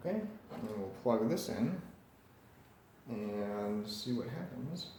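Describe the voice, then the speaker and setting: A man's voice: on the low side, expressive, slow, a little mellow. A man casually narrating a hands-on repair, tentative and thinking aloud as he works. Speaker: hobbyist radio repairer presenting. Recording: roomy echo with faint background noise.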